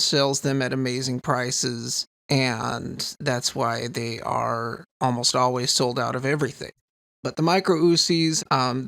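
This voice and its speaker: A man with a fairly high voice and noticeable vocal fry walks through a tutorial in an informal, explanatory way. He sounds keen on his gear, and the voice is recorded close and very dry. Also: fairly bright tone, dry recording. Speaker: sound designer presenting a tutorial. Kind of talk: casual explanatory tutorial narration.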